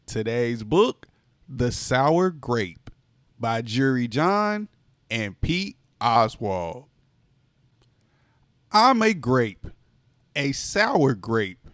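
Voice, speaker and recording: A man reading a children's picture book aloud in an expressive, playful way. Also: medium pitch, no echo, studio quality. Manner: expressive, playful, drawn-out delivery. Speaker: storytime reader.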